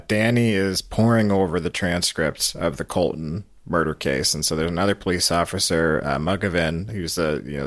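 A man with a low voice hosts a recap podcast. He talks through the story conversationally, recorded close and dry. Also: fairly bright tone, no echo. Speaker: podcast host. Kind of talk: conversational recap of a radio show.

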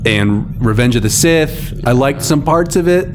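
A man's voice: medium pitch, unhurried. Wry man in his thirties, noisy recording. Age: 30s. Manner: wry, self-mocking, casual swearing. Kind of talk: casual conversation. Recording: noisy recording with steady hiss.

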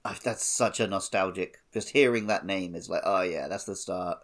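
Male gaming podcast co-host with a fairly low voice, conversational and informal. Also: normal speed. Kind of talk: casual video game playalong chat.

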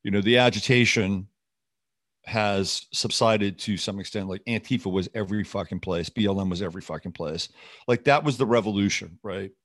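A man's voice: fairly low pitch, normal speed. A man hosting a show with rambling, skeptical, sardonic and often profane unscripted political commentary.

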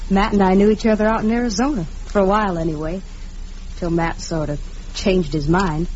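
A woman's voice: medium pitch, fairly even intonation, a little fast, darkish tone, lightly acted. An actress playing a hard-bitten, provocative drifter saloon girl in a radio western. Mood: pointed, coy. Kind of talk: 1950s radio western acting. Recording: dull, noisy vintage radio recording.

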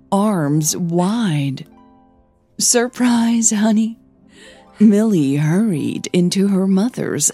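Very slow, mellow, breathy woman storyteller. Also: deep voice. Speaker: narrator and podcast creator. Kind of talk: scripted audio fiction narration.

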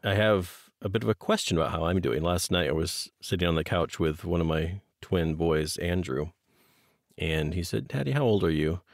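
A man in his forties hosting a podcast, chatting casually in an animated, jokey manner. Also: low-pitched, moderate pace, vocal fry, no room sound, clean studio sound. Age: 40s. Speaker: podcast host. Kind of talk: casual chat.

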